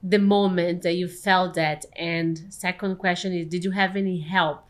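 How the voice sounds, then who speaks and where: A woman's voice: lowish voice, fairly even intonation, moderate pace. A woman in her forties hosting a podcast, asking questions in a conversational way on a studio mic. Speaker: podcast host. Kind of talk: conversational interviewing. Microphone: close studio mic on desk stand.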